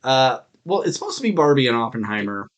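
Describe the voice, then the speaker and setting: A man's voice: medium pitch, sing-song, fast. A man co-hosting a podcast in casual, jokey chat about films and TV, often acting out mock quotes. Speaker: podcast host.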